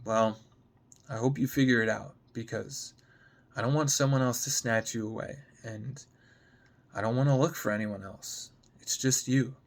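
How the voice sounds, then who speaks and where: A man's voice: mid-range voice, steady tone, normal speed, close to an everyday voice. A man acts out a lovesick young man in a romantic drama. He is earnest and exasperated, pleading with the one he loves. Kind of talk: dramatic screenplay reading.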